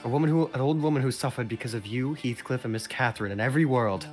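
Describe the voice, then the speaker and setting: A man's voice: medium pitch, fast talker, a little mellow, soft background music, natural voice. A man voicing a bitter, suffering old woman servant, telling her story solemnly in an amateur game dub. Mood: bitter, grieving.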